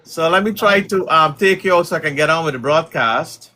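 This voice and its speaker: A middle-aged man from Guyana hosting a casual livestream as a community leader, talking in a halting way with many fillers. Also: on the high side, sharp tone.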